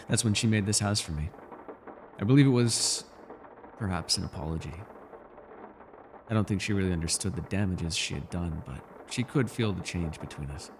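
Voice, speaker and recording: A man acting as an ancient elf with a low voice, in an intimate fantasy ASMR roleplay. He is wistful and measured, with a gently teasing streak. There is noticeable noise behind the voice. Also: animated. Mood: subdued, regretful. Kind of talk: ASMR character roleplay, intimate scripted monologue.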